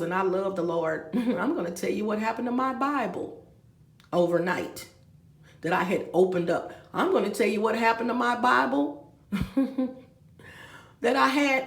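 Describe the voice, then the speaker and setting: Woman in her 50s, vlogger with a gravelly voice, echoey room, rambling and chatty. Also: medium pitch. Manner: rambling, talks straight to viewers with asides. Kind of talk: rambling confessional vlog. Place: room with a bed, indoors.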